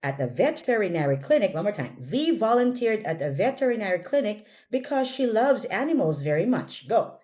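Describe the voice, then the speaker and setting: A woman's voice: mid-range voice, very animated, a little fast, hardly any pauses, a little mellow. A woman teaching English pronunciation one on one, instructing, correcting and encouraging as she models words.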